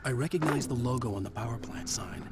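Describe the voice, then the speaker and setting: An actor playing a driven, wry writer caught in a nightmare in a video game, terse and serious. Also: male voice, mid-range voice, fast, very noisy, natural voice. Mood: brooding. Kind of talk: video game voice acting with scripted narration. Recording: loud music behind the voice.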